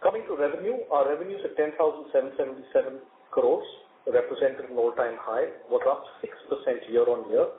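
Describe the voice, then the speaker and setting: A man's voice: mid-range voice, fairly quick. Indian man, corporate finance executive, formal earnings-call briefing; narrowband phone sound with roomy echo. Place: company conference call. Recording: roomy, noisy narrowband conference phone line.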